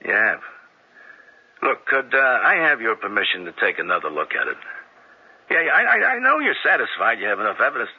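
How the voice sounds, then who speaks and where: An actor playing a persistent police sergeant who questions a witness with coaxing, halting restarts, in a 1970s radio drama. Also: male voice, on the low side, sing-song, husky, full character voice. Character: persistent male police detective sergeant, middle-aged. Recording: dull lo-fi old radio recording.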